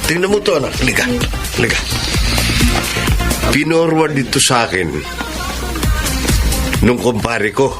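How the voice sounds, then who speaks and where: A man's voice: medium pitch, big pitch swings, measured pace, long pauses. An actor playing a jovial, pushy middle-aged Filipino father in a radio drama. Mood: eager. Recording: loud music bed. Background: music.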